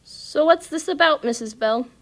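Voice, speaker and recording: A woman's voice: high-pitched, normal speed, fairly bright tone. A woman playing a tender, reassuring mother of a young boy in a children's puppet show. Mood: pleasantly surprised.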